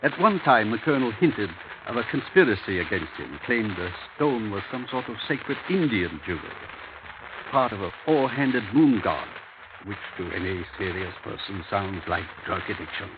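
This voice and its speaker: An actor playing a brisk, fussy older English family lawyer in old-time radio drama, formal and avuncular with small muttered hesitations. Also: male voice, lowish voice, animated, lightly acted. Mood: dry, emphatic. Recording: tinny, noisy vintage radio recording.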